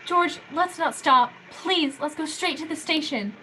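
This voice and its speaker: Woman acting a bright, high-voiced young bride. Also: measured pace, a little hiss or hum, poor audio. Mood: pleading, anxious. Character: Mary, devoted young newlywed bride. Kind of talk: 1940s radio play acting.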